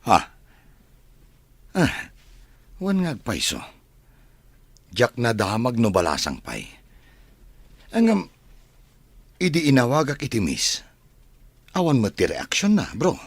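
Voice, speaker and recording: A man's voice: mid-range voice, wildly swooping pitch, husky, no echo, exaggerated voice. A man with a Filipino accent playing a mild, shy bachelor son, hesitant and earnest, in an audio drama. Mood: hopeful. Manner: hesitant, halting. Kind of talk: amateur audio drama acting.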